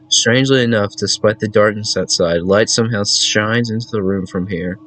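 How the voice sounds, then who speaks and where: A man's voice: sits low, a little hiss or hum. A man doing casual let's-play commentary and reading game text aloud, with wry asides. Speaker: gaming channel host.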